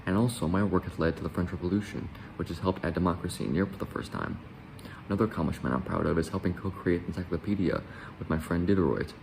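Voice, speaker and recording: A man playing a self-important philosopher, reading his lines aloud in a flat, self-praising way. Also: low-pitched, fast, gravelly, a little hiss or hum, rough recording. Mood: proud.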